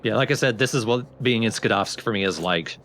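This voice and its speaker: A man's voice: on the low side, fairly quick, a crowd in the background. A man giving casual, explanatory commentary on a video game as he plays. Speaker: let's play commentator.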